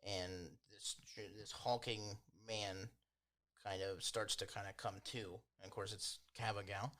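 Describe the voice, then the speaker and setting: Low-voiced man narrating a tabletop game. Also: steady tone, measured pace, pushed and bright. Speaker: game master.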